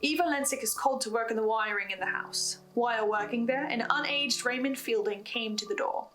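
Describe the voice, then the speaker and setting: Female recap host, higher voice, scripted storytelling with personal asides, faint music underneath. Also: brisk, fairly bright tone. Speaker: host of a recap and theory video on a horror podcast.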